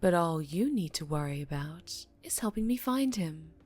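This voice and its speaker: A woman playing a dangerously charming female mafia boss in an ASMR roleplay, teasing and menacing, with deliberate purring delivery that drops toward a whisper. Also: on the low side, lively intonation, darkish tone, faint music behind. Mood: coolly bargaining. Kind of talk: ASMR roleplay audio drama, addressing the listener.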